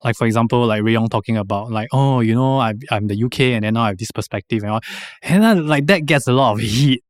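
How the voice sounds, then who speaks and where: A man's voice: medium pitch, fast talker, no echo, clean studio sound. A man with a Singaporean accent, a guest on a podcast, chatting casually and acting out other people's lines in playful voices. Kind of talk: casual chat. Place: podcast studio.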